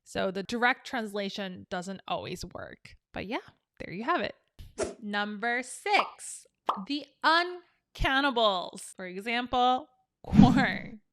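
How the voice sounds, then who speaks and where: Young adult woman, friendly teacher, slow and dry. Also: mid-range voice, sing-song, a bit bright, dry recording. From South Korea. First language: Korean. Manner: chatty and upbeat, explaining with examples. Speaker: English teacher. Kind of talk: casual online English lesson.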